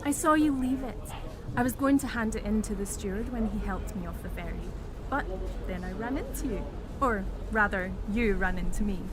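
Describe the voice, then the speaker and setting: A woman's voice: mid-range voice, normal speed, a loud crowd, close to an everyday voice, rough recording. An actress playing a prickly, sardonic woman in a naturalistic audio drama, speaking bluntly. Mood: wry. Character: prickly, sardonic Scottish woman wheelchair user named Elsa.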